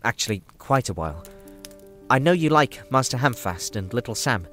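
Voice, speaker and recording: A man voicing a kindly, fussy older gentleman hobbit with a hesitant, roundabout way of talking. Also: medium pitch, very animated, moderate pace, faint music behind. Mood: earnest, hesitant.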